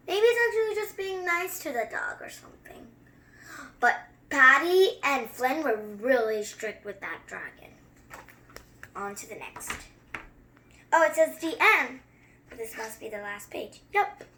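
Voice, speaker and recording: A young-sounding story reader who reads a picture book aloud and chats through it with expressive, wandering asides. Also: sits high, measured pace, long pauses, slight background noise. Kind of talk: children's story time read-aloud with excited running commentary.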